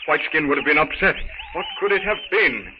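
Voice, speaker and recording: A man's voice: a little high, animated, brisk, natural voice. Man as earnest old-time radio narrator, muffled. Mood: earnest, suspenseful. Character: storybook narrator of jungle adventure, earnest. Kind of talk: dramatic 1930s radio serial narration. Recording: muffled hissy 1930s radio recording.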